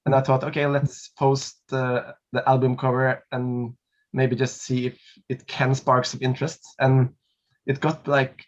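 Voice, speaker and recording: Guy, 30s, flat hesitant delivery, dull webcam-call sound. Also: mid-range voice, a little slow. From Norway. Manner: hesitant, many fillers, laughs between phrases. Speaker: singer and documentary subject. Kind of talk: casual interview answers over video call. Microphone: laptop webcam mic on a video call.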